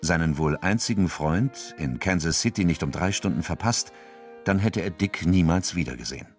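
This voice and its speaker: A man's voice: sits low, animated, moderate pace, faint music behind. A man acting as the sober narrator of a crime audio drama, delivering scripted literary narration.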